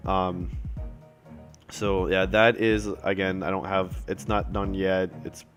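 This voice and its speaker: A man recording a casual update video, a little raspy from a cold, rambling with many fillers and restarts, apologetic and appreciative. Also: low voice, level tone, slow pace, fairly bright tone, soft background music. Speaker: self-published author giving updates.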